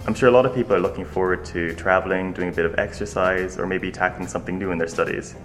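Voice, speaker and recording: A man's voice: deep voice, steady tone, fast, a bit bright, roomy sound, soft background music. A man with an Irish accent co-hosting a radio show, chatting casually with many fillers. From Ireland. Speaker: radio co-host.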